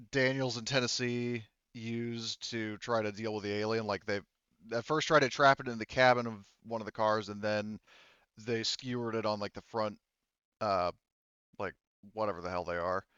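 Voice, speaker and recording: A man's voice: lowish voice, fairly even intonation, measured pace, a bit bright, clear, resonant tone, no room sound, highs cut off. A man acting as game master, explaining tabletop rules conversationally in a rambling way.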